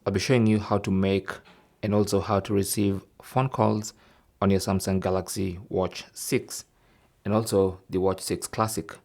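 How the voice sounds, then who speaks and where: A man's voice: deep voice, steady tone, moderate pace, vocal fry, darkish tone. A man presenting an instructional tech tip, explaining step by step and often checking in with the listener. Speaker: tech tutorial host.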